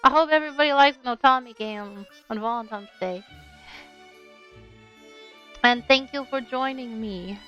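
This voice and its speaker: A woman's voice: a little high, moderate pace, pauses often, fairly bright tone, highs cut off. A woman with a bubbly, playful manner, talking as a game streamer on a livestream. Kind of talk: casual livestream chat with read-aloud game narration. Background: game music.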